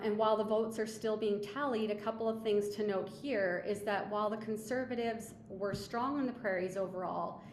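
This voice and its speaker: A woman presenting analysis as a webinar speaker, in a measured, explanatory and somewhat hesitant way. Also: medium pitch. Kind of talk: slide presentation of polling analysis. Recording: echoey room with faint background noise.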